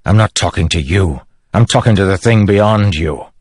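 A man's voice: normal speed, darkish tone, no room sound, clean studio sound. A man voicing a grim young soldier who speaks bluntly. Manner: low, blunt, clipped. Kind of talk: audiobook character voice.

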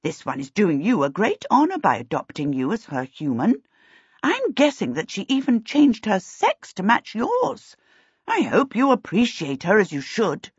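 Woman voicing a brisk, severe witch, lively pitch. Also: husky, no echo, muffled recording. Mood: impatient. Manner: brisk, dry, emphatic, impatient. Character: brisk, severe, super-efficient middle-aged witch mother. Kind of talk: audiobook character voice.

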